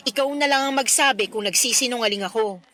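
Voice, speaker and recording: A woman's voice: a little high, quick pace, pushed and bright. An actress playing a concerned best friend in a radio drama, earnest and insistent as she explains and justifies herself. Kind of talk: Filipino radio drama acting.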